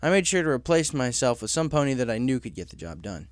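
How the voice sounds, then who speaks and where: A man's voice: mid-range voice, sing-song, quick pace, no echo, natural voice. A man voicing a confident, encouraging male pegasus pony boss in a story, assured and businesslike yet casual. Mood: proud, eager.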